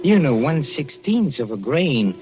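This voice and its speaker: A man's voice: fairly high pitch, sing-song, moderate pace, music in the background. An actor playing a sinister husband who has poisoned his wife, in 1940s radio acting on a dull old broadcast. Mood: menacing. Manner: softly gloating, sinister. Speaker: villain in a radio play.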